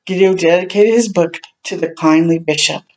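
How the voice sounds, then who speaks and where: A woman reading a children's story aloud as a storytime host, adding gentle chatty reactions as she goes. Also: fairly low pitch, moderate pace, poor audio. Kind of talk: read-aloud narration with chatty asides.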